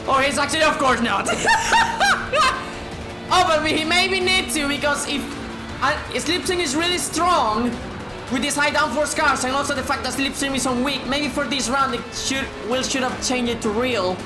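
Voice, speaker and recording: A high-voiced woman calls a sim race with a strained, sore throat, swearing freely and shouting at the action. Her voice rings in an echoey room. Music plays under her. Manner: swears a lot, shouts at big moments, drops to a low tone to save her voice. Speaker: race commentator. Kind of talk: excited sim racing commentary. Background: background music.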